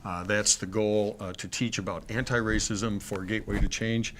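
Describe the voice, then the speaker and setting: A man's voice: fairly low pitch, steady tone, normal speed, fairly bright tone, a little hiss or hum. A middle-aged man, a school superintendent co-hosting a talk show, speaking with many ums and uhs. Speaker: superintendent and co-host. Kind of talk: casual studio chat.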